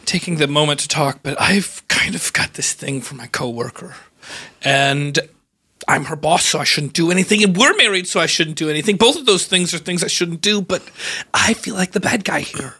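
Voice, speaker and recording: Guy doing an awkward nosy theater boss, high bright husky voice, jumps all over. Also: moderate pace, exaggerated voice. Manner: prying, awkward. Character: awkward male concession-stand boss, nosy.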